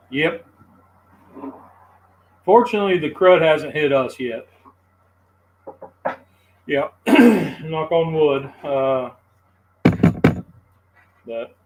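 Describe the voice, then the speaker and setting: A man with a slightly high-set voice, easygoing and chatty. He talks to his viewers the way he would to friends at home. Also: slight background noise, rough recording. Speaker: host of a live cooking stream. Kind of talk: casual live-stream chat.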